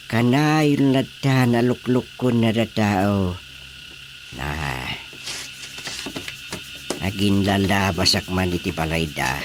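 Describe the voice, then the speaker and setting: A man with an Ilocano Filipino accent playing a world-weary, principled village chairman in a radio drama comedy, grumbling in a drawn-out way. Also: fairly low pitch, a little hiss or hum.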